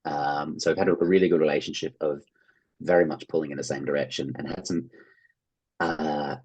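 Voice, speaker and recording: A man's voice: extremely low pitch, fairly even intonation, fairly quick, a little mellow, breathy edge, lo-fi sound. An Australian man speaking casually as an interview guest, rambling and hedging as he goes. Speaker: interview guest, playwright and actor.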